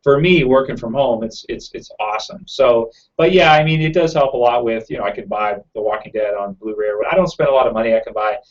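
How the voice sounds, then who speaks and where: A man talks fast and loosely from home, trailing into fillers as he answers viewers' questions. His voice sits at an average pitch and sounds a little raw. The audio is dull and lo-fi, like a home computer mic on a live stream. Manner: fast-talking, rambling with many fillers. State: slightly raw throat. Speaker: YouTube channel host.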